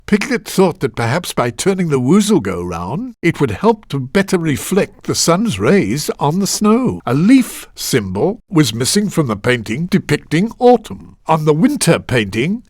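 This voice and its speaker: A kindly male storyteller in a children's game. His voice sits a little high and moves up and down with lively inflection. He explains things patiently and musingly, as if reading a storybook to small children. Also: no echo, clean studio sound. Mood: gentle, explanatory. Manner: measured, gently musing, explanatory. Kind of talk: storybook narration.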